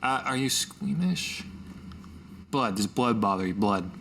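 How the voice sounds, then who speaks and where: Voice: low-pitched, unhurried, fairly bright tone. A player in a tabletop roleplaying game, improvising in-character talk in a casual way. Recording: noticeable background noise.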